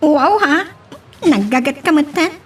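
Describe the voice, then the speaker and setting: A woman's voice: high voice, expressive, unhurried, faint music behind. A woman with an Ilocano accent playing a polite, friendly young woman in a love-story audio drama. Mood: friendly, playful. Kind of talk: Ilocano radio-style drama acting. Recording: distant mic, faint ambient noise.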